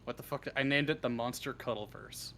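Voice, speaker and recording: A man's voice: medium pitch, fairly quick, creaky, fairly bright tone, slight background noise. A man chatting casually as an interview guest, blunt and informal, swearing freely. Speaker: interview guest, script writer. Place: live online voice stream.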